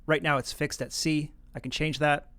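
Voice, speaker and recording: A man narrating an instructional software tutorial, explaining things casually and step by step. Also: on the high side, level tone, normal speed, music in the background.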